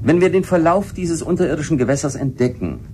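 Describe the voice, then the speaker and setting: An actor playing a confident, genial cave researcher in a German radio play. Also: male voice, fairly high pitch, animated, fast talker, dark tone, slight background noise, natural voice. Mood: confident, enthusiastic.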